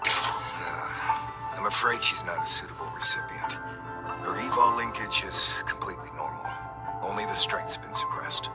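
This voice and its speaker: An actor playing a wary underground tinkerer and dealer in a video game, dry, sighing and matter-of-fact. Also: male voice, low voice, normal speed, pauses often, natural voice. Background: loud game music.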